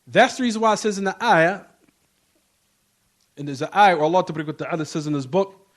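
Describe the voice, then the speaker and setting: Man, high-pitched voice, religious teacher, informal lecture, emphatic, repetitive, questioning delivery. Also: fast. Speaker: religious teacher giving a class. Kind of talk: informal Islamic lecture with scriptural recitation.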